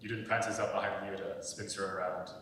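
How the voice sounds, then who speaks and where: A man's voice: level tone, a little fast, a bit bright. A young adult man reading stage directions as scripted narration for a dramatic reading. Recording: very echoey, distant, rumbling background noise.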